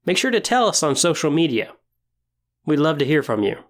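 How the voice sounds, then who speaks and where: A man's voice: on the high side, big pitch swings, brisk. A man hosting a podcast, speaking directly to listeners in an encouraging, semi-scripted way. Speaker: podcast host. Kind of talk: semi-scripted podcast talk on tabletop roleplaying.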